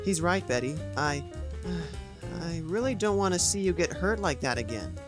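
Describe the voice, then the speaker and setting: A man's voice: a little high, lively intonation, a little slow. Earnest young man over music, acted. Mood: candid, concerned. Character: earnest, concerned young male friend and assistant. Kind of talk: audio drama acting.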